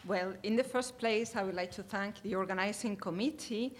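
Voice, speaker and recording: A woman with a Spanish accent delivers a formal academic lecture at a conference. Her voice sits at a middling pitch, and she moves through the material carefully, often pausing to hesitate. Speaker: conference presenter.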